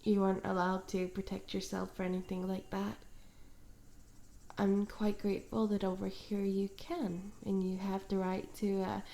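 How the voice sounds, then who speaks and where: A woman playing a smitten, awkward tomboy stalker in an intimate one-sided ASMR roleplay, hesitant and soft-spoken, trailing off with small hums. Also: medium pitch, flat delivery, moderate pace, vocal fry, natural voice. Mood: chatty, candid.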